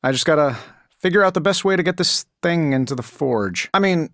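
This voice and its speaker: An actor voicing a cocky, wisecracking billionaire genius superhero in a video game, with an American accent and a glib, sarcastic, self-assured delivery. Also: male voice, on the high side, expressive, brisk, fairly bright tone, no room sound, clean studio sound. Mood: cocky, wry. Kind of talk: scripted game character dialogue.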